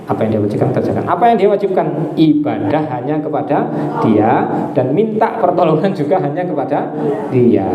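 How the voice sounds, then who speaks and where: A man giving a conversational religious study talk to an audience. He tells stories, asks his listeners questions and repeats key phrases. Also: medium pitch. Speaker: Islamic preacher. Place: hall with a live audience. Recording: echoey room with an audience.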